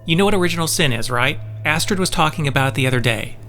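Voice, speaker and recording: A gentle, troubled man talks in a slightly high voice. He is earnest and hesitant, turning things over as he speaks in an audio drama. Faint music plays underneath. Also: expressive, quick pace. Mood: gentle, flirtatious.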